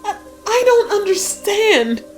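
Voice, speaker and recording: A woman's voice: extremely high pitch, sing-song, takes it slowly, heavily acted. A woman playing an impulsive, distraught twelve-year-old princess in a fairy tale audiobook, speaking with exclamatory emotion. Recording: music behind the voice. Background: music.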